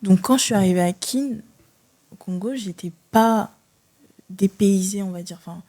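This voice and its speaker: A woman's voice: mid-range voice, moderate pace, dark tone. A woman in her early twenties from France, answering casually and a little hesitantly as an interview guest. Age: early 20s. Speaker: podcast guest, judo athlete.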